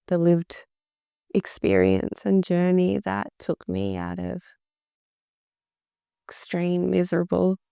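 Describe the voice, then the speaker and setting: Woman podcast host, voice deep and worn from overuse, slow and dark, reflective delivery. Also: no room sound, clean studio sound. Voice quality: deep, gravelly. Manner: slow, reflective, repeats words for emphasis. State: voice worn out from talking a lot. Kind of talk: solo podcast monologue.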